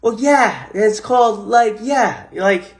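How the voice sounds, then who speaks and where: A high-voiced man speaks into his phone on a livestream, animated and repetitive. His talk is casual and motivational, with loose swearing. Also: takes it slowly. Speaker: host of an Instagram live.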